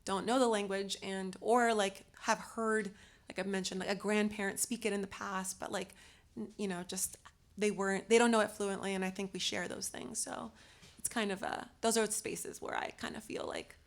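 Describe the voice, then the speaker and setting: Gravelly young woman, halting speech. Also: mid-range voice, steady tone. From Los Angeles. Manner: hesitant, many fillers and restarts. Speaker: panelist. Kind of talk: casual panel discussion.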